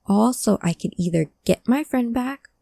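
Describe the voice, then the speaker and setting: A woman acting an earnest, emotional hacker searching for a lost friend in a cyberpunk audio drama, with halting delivery. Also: a little high, expressive, vocal fry, mellow, dry recording. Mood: grim, resolute. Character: distressed, determined hacker woman seeking her lost friend.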